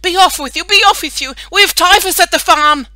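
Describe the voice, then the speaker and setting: A woman's voice: extremely high pitch, normal speed, bright, forceful tone, no room sound, pitched above the performer's own voice. A woman voicing an alarmed, bossy village woman in a children's audiobook, shrieking at the top of her voice. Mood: horrified, shouting.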